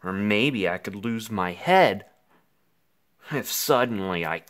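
A man reading a rhyming children's story aloud theatrically, with dramatic pauses, as an anxious, melodramatic five-year-old boy. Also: on the low side, animated, a bit bright. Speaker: picture book reader.

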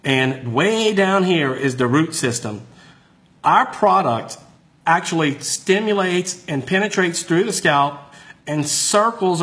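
A middle-aged male hairdresser giving an excited product demonstration, talking with frequent ums, filmed on a phone indoors. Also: a little high. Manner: enthusiastic, salesy, with frequent ums.

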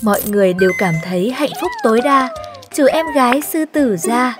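A woman's voice: fairly high pitch, lively intonation, fairly quick. A woman narrator reading scripted, rhyming narration for a children's cartoon. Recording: music behind the voice.

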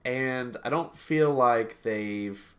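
A man's voice: mid-range voice, extremely slow, a bit bright. A sniffly man in his thirties talks over a video call through a headset from a small room at home. He rambles in a casual way, often doubling back and correcting himself, with easy laughs in between. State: sniffly from allergies. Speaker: game reviewer co-host.

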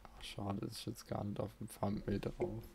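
A man's voice: on the low side, monotone, moderate pace, vocal fry, noisy background. A man giving casual gameplay commentary, musing informally to himself and his viewers as a let's play host.

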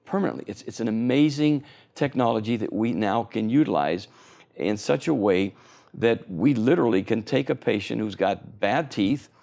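An older man in his sixties speaks with a gravelly, creaky texture. He explains things eagerly, with plenty of fillers and restarts, as a guest in a television studio interview. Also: fairly low pitch, expressive, moderate pace, darkish tone. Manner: enthusiastic, explanatory, frequent fillers. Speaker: oral surgeon guest.

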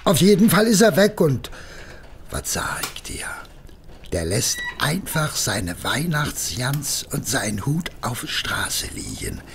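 Casting: tipsy Berlin working-class husband, good-natured boaster, slow and gravelly, for radio play. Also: male voice, a little high, very animated, a little hiss or hum, exaggerated voice. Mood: defensive. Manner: colloquial, wheedling, hesitant storytelling. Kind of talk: German audio drama acting.

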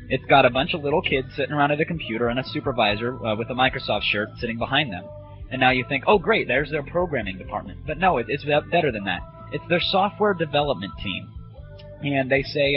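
A man hosting a comedy audio show, ranting casually in a sarcastic, rambling, profane way. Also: medium pitch. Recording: muffled lo-fi 1990s internet audio. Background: music.

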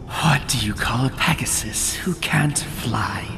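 Gloating cartoon cloud villain, gravelly half-whisper, extremely drawn-out, menacing. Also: mid-range voice. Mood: menacing, taunting. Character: sinister gloating storm cloud monster feeding on sadness. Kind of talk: comic dub villain acting. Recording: voice over background music.

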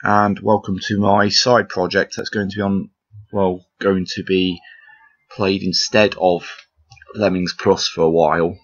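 A man with a low, husky and croaky voice chats loosely while playing a puzzle game, trailing off and teasing himself. The recording is a bit dull and lo-fi, with faint game music underneath. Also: level tone, unhurried. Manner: rambling, self-deprecating, chuckles often. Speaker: gameplay commentator. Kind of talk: casual gameplay commentary.

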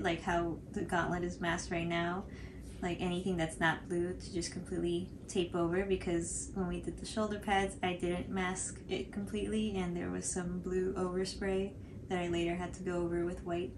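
Young woman co-hosting a craft tutorial, loose conversational delivery full of fillers, clear background noise. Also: fairly low pitch, level tone, moderate pace. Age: young adult. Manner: hesitant, filler-heavy phrasing with frequent "like". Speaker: cosplay tutorial co-host. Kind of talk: casual explanatory chat. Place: small furnished room indoors, on a couch. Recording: clearly noisy home recording.

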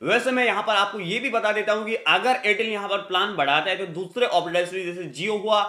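A man's voice: high-pitched, brisk, pushed and bright. A man, giving an emphatic, repetitive news update to camera as a tech YouTuber. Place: small indoor room with hard walls. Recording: echoey room.

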